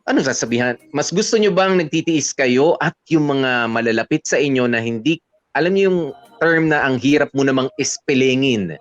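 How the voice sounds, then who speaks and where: Man, Filipino accent, mid-pitched, halting casual advice talk, mixes two languages, dry close recording, dull audio. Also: dry recording. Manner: halting, conversational, code-switching between two languages. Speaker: radio show co-host.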